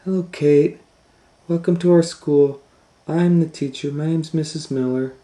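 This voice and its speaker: Man doing a friendly storybook lady teacher, raised pitch, welcoming, explanatory, roomy echo. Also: steady tone, a little slow, dark tone, natural voice. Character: friendly, welcoming woman schoolteacher.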